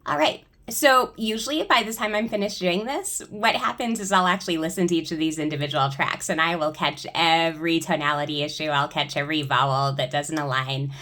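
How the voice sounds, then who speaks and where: A woman's voice: low-pitched, fairly even intonation, fairly quick, hardly any pauses, a bit bright. A woman working as a choir director explains things step by step in an informal spoken tutorial.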